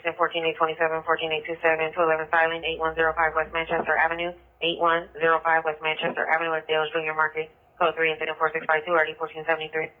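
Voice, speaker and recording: A high, flat-toned male voice reads out police dispatches in clipped, procedural phrases. He moves quickly and repeats details. The sound is a narrow, phone-like police radio transmission. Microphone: two-way radio.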